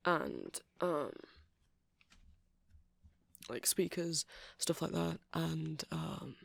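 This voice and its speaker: A man playing a nerdy, friendly vampire who keeps a music shop, rambling gently and hesitantly in a casual ASMR roleplay, with a creaky, soft-spoken voice. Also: a little high, dry recording, studio quality, exaggerated voice.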